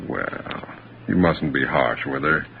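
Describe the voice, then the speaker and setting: A man's voice: very deep voice, slow pace, full character voice. An actor playing a patient, doting husband and indulgent father in a soothing, affectionate manner, in 1940s radio acting style. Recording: scratchy, hissy, narrow 1940s radio recording.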